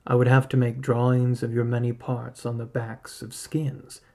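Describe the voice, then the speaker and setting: A man's voice: mid-range voice, completely flat delivery, moderate pace, mellow, close to an everyday voice. A man voicing a wily, reluctant male arctic hunter in a story, who reasons and bargains step by step. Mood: worried. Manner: argues step by step, rhetorical questions.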